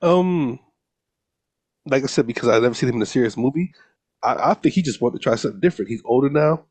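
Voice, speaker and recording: A man's voice: mid-range voice, lively intonation, fast talker, darkish tone, slightly rough. A man co-hosting a podcast, giving his take on films in casual, slangy conversation with friends. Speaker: podcast co-host. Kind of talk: casual film discussion with friends.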